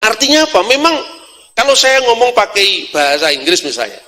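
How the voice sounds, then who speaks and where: A man's voice: high voice, big pitch swings, brisk, bright, forceful tone, a little hiss or hum. A middle-aged man with a Javanese accent, a native Javanese speaker, teaching scripture like a sermon with rhetorical questions and colloquial asides. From Java, Indonesia. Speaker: religious lecturer. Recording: long hall reverb.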